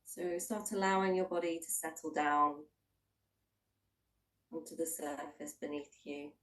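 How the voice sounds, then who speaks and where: A woman leading a guided body scan meditation, giving gentle instructions in unhurried, invitational phrases with long pauses. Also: low-pitched, steady tone, recorded from a distance.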